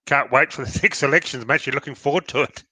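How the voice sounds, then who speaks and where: A man's voice: medium pitch, fast, bright, forceful tone, no room sound. An Australian man co-hosting a casual live stream. He sounds tired and blocked up from hay fever, and chats in a friendly, welcoming way. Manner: chatty and welcoming, says sorry for sounding tired.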